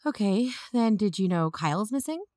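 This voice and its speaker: A woman's voice: mid-range voice, very animated, moderate pace, darkish tone, dry recording, studio quality, close to an everyday voice. A woman playing an earnest young amateur investigator and podcaster, probing in a natural, matter-of-fact way. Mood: concerned, probing. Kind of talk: audio drama narration and natural phone dialogue.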